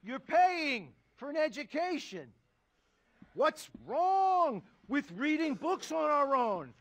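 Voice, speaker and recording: A man's voice: very high voice, sing-song, slow pace, a bit bright, heavily acted. A man playing a rich, ruthless, cynical finance mentor in a satirical video game movie, pompous and contemptuous. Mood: grandiose, scornful. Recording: music under the dialogue.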